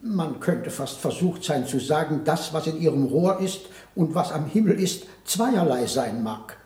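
An actor playing a dryly skeptical, pedantic court mathematician in a 1960s German radio play, measured and politely evasive. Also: male voice, on the high side, animated, darkish tone, reverberant.

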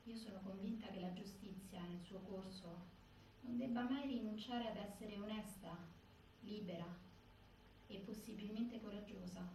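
An actress playing a resolute, grieving sister, delivering a measured, articulate stage monologue. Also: female voice, mid-range voice, mellow, lightly acted. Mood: grave, resolute. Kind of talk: scripted theatrical monologue. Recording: very echoey, distant, faint noise.

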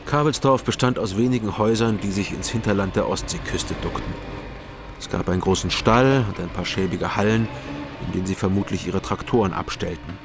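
An actor narrating a crime drama in the first person, in a calm, measured way. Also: male voice, fairly low pitch, close to an everyday voice. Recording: music playing behind.

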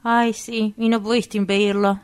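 A woman's voice: medium pitch, little pitch movement, normal speed, darkish tone, clean tone, natural voice. A woman with an Argentine accent playing a prim, curious, sardonic woman in a radio drama, questioning and easily disgusted. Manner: questioning, disdainful, dry.

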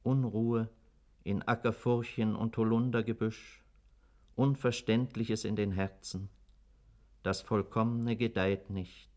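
An actor playing a grave, brooding narrator, giving a solemn poetic recitation in a German radio drama. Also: male voice, on the low side, little pitch movement, a little mellow. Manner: solemn, deliberate.

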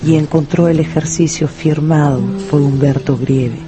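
A man's voice: a little high, steady tone, moderate pace. A man narrating a scripted story dramatically for radio. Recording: music behind the voice.

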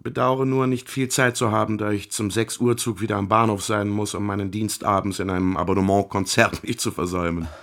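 A man playing a charming, eager violinist friend in a radio drama, with a gallant, playful, lightly flattering manner. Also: lowish voice, brisk, gravelly, few pauses. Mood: cheerful, gallant.

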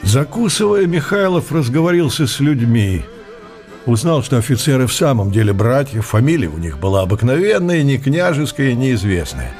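A man narrating a literary radio drama with measured, expressive storytelling phrasing. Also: medium pitch, faint music behind.

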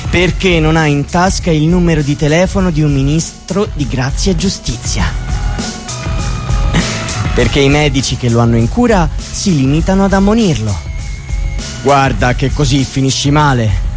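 A man's voice: on the high side, expressive, moderate pace, lightly acted. An actor playing a bitter dead young man. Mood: bitter, accusing. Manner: impassioned, declamatory. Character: young man speaking after his death, bitter. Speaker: actor in a public drama. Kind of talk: theatrical monologue over music. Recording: music behind the voice.

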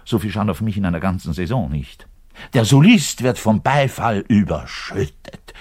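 An actor playing a frustrated, self-pitying orchestra double bassist in a radio monologue, with a southern German accent and a colloquial, ranting manner. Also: male voice, expressive, moderate pace, a little mellow, breathy edge. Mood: envious, bitter. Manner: ranting, colloquial, swinging from outbursts to muttered resignation. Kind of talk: German radio play monologue, addressed to the listener.

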